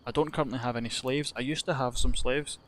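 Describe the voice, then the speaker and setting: A man's voice: mid-range voice, fairly even intonation, fast, slight background noise. A young Scottish man giving rambling, self-deprecating commentary over a game he is playing, swearing casually. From Scotland. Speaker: gaming YouTuber.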